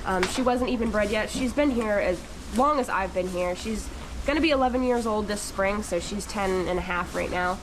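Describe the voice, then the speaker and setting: A woman who works on a dairy farm, talking casually to viewers with a stuffy, congested voice from a cold. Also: mid-range voice. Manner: chatty, talks straight to viewers. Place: dairy barn, with calves and cows. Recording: noisy barn recording.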